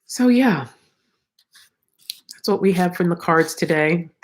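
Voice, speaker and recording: A woman's voice: fairly low pitch, lively intonation, slow pace. A middle-aged woman with a slightly froggy, tired voice, hosting a casual spiritual livestream and speaking reflectively and encouragingly straight to her viewers. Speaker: host of a live oracle card reading.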